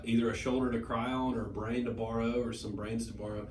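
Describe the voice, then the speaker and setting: A man's voice: mid-range voice, flat delivery, fast. A man in his late thirties, a guest in a casual podcast chat, who rambles and restarts his sentences often. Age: 30s. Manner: rambling, many restarts and repeated words. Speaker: guest, brewery owner. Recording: echoey room, noisy, mic at a distance.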